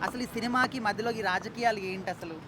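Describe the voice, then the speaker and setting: A man's voice: high voice, fairly quick, music in the background. A man, working as a street interviewer gathering public reactions. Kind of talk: vox-pop interview. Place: outdoors in an urban street. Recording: clear outdoor street noise.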